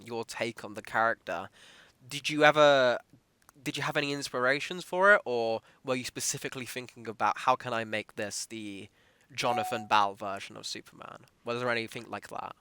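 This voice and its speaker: Bright, clear-voiced male podcast interviewer. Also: mid-range voice, normal speed, no echo. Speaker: podcast host interviewing an actor. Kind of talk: conversational interview.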